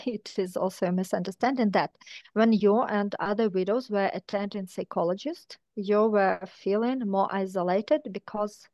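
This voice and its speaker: A woman's voice: mid-range voice, darkish tone, no room sound. A woman interpreting a webinar over a video call, phrasing her words carefully. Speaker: interpreter and moderator.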